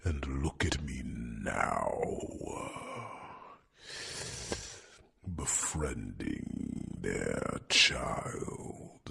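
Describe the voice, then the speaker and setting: A man voicing an old, wise male dragon mentor in an ASMR fantasy roleplay, slow and gently teasing with soft chuckles and breathy whispers. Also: sing-song, full character voice. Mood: fond, teasing. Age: older. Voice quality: deep gravelly voice with breathy whispers.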